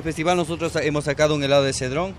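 A man's voice: a little high, steady tone, very fast, crowd noise. A man working as a hotel chef, with an Ecuadorian Spanish accent, eager and agreeable as he explains flavors. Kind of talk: casual chat. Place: hotel kitchen.

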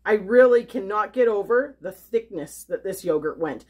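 A woman's voice: medium pitch, expressive, normal speed, husky, phone-quality sound. A woman hosting a homesteading cooking video, chatting casually and upbeat as she works in her home kitchen. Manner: chatty, upbeat, thinks aloud while working. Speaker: homesteading channel host.

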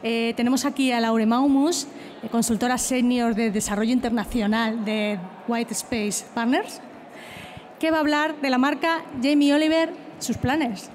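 A woman moderating an industry event, introducing each speaker briskly. Her voice is notably high. Light background noise surrounds her. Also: fairly even intonation, a little mellow.

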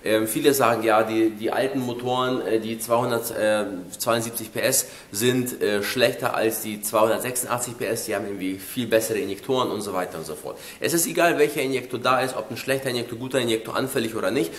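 A man's voice: medium pitch, fast talker, few pauses. A man in his thirties, slightly congested with a cold, explaining things informally and directly to camera as a car mechanic. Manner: colloquial, direct, matter-of-fact. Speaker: car workshop mechanic presenting. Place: car repair workshop, indoors. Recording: echoey workshop room.

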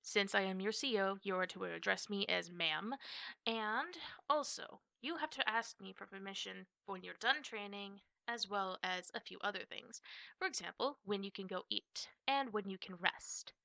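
A woman's voice: mid-range voice, normal speed, creaky, bright, forceful tone, no room sound. A woman voicing a stern, blunt part-wolf army officer who trains knights, in a scripted roleplay audio drama. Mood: stern, commanding. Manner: tough, bossy, blunt.

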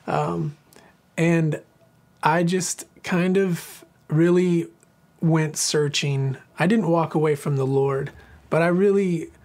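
A man's voice: on the high side, a little mellow. A man in his thirties from Southern Oregon, a singer-songwriter telling his life story in an earnest, reflective way with many fillers and restarts. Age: 30s. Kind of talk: personal interview storytelling.

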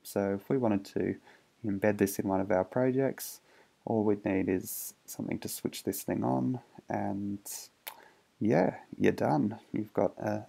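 A man's voice: fairly low pitch, gravelly, dark tone, breathy edge. A man casually narrating a hands-on electronics demo in a hesitant, understated way, thinking aloud. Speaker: electronics hobbyist presenter.

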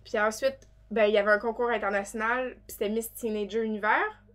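A woman in her twenties with an Acadian French accent, chatting as an interview guest in a small studio, talkative and quick to laugh. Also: fairly high pitch, steady tone, a bit bright. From New Brunswick, Canada. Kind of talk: casual interview chat. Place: small studio with wood-panel backdrop. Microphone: studio mic on table.

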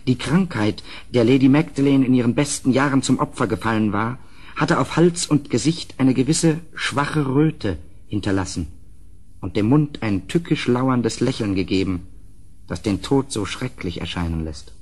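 An actor playing an uneasy gentleman who narrates a gothic radio play in a measured, ominous, slightly husky voice. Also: mid-range voice, expressive. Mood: somber, ominous.

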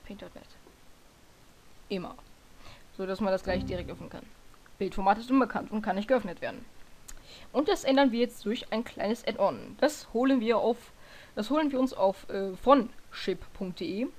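A woman with a hoarse voice walks through a software tutorial in a loose, chatty way. She rambles, corrects herself mid-sentence and fills gaps with hesitations. Her pitch sits in an ordinary middle range. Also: moderate pace, pauses often, slight background noise. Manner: rambling, self-correcting, lots of fillers. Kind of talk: casual screen-recording tutorial.

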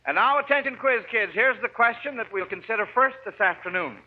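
A man's voice: very high-pitched, animated, a little fast. An American man hosting a 1940s radio quiz show with folksy schoolteacher patter. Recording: dull, noisy 1940s radio recording.

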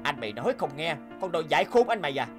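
A swaggering, animated gambler. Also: male voice, fairly high pitch, very fast, faint music behind. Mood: scolding, boastful. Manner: swaggering, scornful. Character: cocky boastful young male gambler, older brother. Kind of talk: animated moral tale dialogue.

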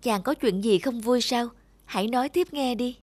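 A woman voicing a gentle, reassuring female frog princess in a storybook cartoon, tender and courtly. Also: a little high, moderate pace, no room sound, close to an everyday voice. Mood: soothing.

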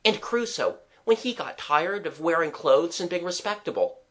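A reader voicing an eager, high-pitched young boy. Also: normal speed, close to an everyday voice. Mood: earnest. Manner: excitable, confiding, earnest chatter. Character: eager, imaginative young boy dreaming aloud.

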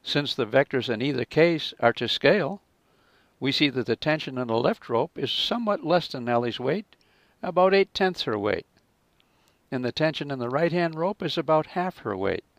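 A man narrating a scripted physics lesson as a teacher, in a measured, explanatory manner. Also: medium pitch, expressive, gravelly, no room sound.

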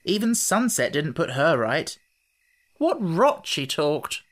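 A scornful young schoolboy from a storybook talks in a slow, dismissive way, as the reader puts him on. His pitch sits only a little above the narration. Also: sing-song.

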